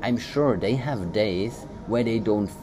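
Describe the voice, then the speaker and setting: A man's voice: on the low side, slow pace. A man whose first language is German, co-hosting a casual motivational podcast and talking in loose, rambling sentences full of restarts. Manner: rambling, many restarts and fillers. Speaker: podcast co-host. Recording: background noise.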